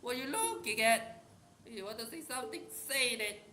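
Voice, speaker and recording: A man's voice: very high-pitched, big pitch swings, normal speed, pushed and bright, pitched above the performer's own voice, exaggerated voice. A man voicing a sour-tempered petite teenage schoolgirl in a game, talking snappishly and bluntly. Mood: annoyed. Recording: roomy with music behind.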